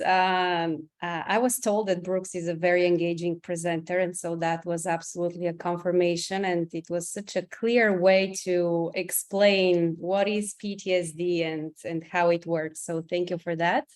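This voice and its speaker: A woman's voice: on the low side, little pitch movement, normal speed, few pauses, darkish tone. A woman with a Bulgarian accent, a clinical psychologist, giving a careful webinar presentation over a video call, with many "um" fillers.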